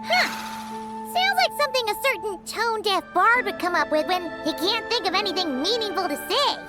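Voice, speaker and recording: A tiny, chirpy companion girl from a fantasy game, with a very high, lively voice. She teases and asks questions. Loud game music plays behind her. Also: a little fast. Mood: curious. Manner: quippy and curious.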